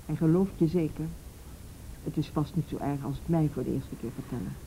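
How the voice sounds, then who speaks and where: A calm woman official in a radio drama, reassuring and practical. Her voice is lowish and very dark, with faint noise on the recording. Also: brisk. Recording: noisy old radio play recording.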